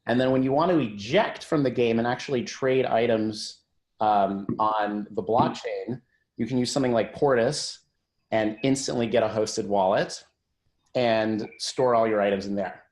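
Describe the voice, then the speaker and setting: A man's voice: fairly low pitch, moderate pace, darkish tone. Man presenting on an echoey call. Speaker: tech company executive presenting. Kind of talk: informal conference-call presentation. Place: conference call.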